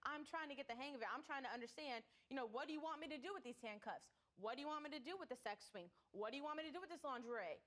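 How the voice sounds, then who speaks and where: High-voiced earnest woman. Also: expressive, very fast, hardly any pauses, a bit bright. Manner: earnest, pleading, repeating her questions. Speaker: litigant. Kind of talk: courtroom testimony.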